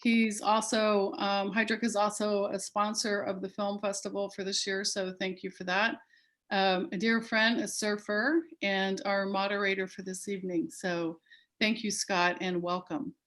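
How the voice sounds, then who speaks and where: A chatty, upbeat woman introduces the guests of a live-streamed panel over a video call. Her voice sits at a mid pitch and her talk is full of ums and warm thanks. She grew up in Peru. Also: little pitch movement, measured pace. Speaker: festival host.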